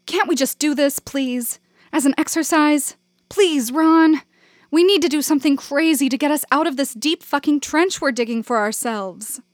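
A pushy, passionate young woman drama student who pleads and insists, pitched up and louder than the narration, as the woman reading voices her. The pace is unhurried and the sound is dry and close. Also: no room sound. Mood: pleading.

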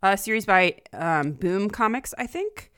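A woman's voice: medium pitch, lively intonation, unhurried, a bit bright, clear, resonant tone, no echo, studio quality. A woman chatting casually and talkatively as a guest co-host on a podcast, sprinkling her speech with "like". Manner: chatty, laughs while talking, often says "like".

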